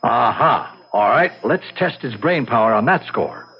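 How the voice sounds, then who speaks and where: An actor playing an amiable, curious gray-haired banker and war veteran in an old-time radio drama, on a muffled recording. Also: male voice, medium pitch, lively intonation, measured pace, slightly rough. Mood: curious, challenging.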